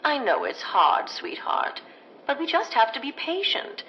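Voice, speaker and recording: An actress playing a gentle, patient 1950s American housewife and mother in an old-time radio drama, sweet and proper. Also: sits high, big pitch swings, measured pace, highs cut off, slight background noise. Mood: patient, soothing.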